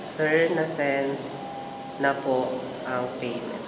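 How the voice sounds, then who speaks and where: A young woman playing an eager, trusting online buyer in an amateur student film, speaking haltingly. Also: rumbling low, steady tone, exaggerated voice. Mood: trusting, hesitant. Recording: noisy, echoey, distant mic with hum.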